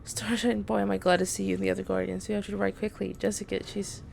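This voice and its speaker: Woman doing earnest hero girl from a video game, urgent, lots of vocal fry, little bit of noise. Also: mid-range voice, level tone, fast talker, a little mellow. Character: urgent, earnest young heroine of fantasy game.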